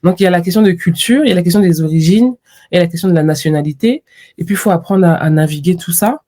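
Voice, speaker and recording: A woman from France speaks as a podcast guest in a relaxed, reflective way. Her voice is warm and mellow rather than bright, and she livens up when she acts out what others said to her. The delivery is conversational and unscripted. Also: fairly low pitch, rapid-fire. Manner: reflective, with lively quoted asides. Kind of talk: conversational interview.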